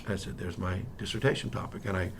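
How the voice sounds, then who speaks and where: Interview guest: male, middle-aged, American accent, lower register, casual anecdotal delivery that restarts and rephrases, some noise behind. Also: fast, creaky. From Miami, United States. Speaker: interview guest, history professor. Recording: noticeable background noise.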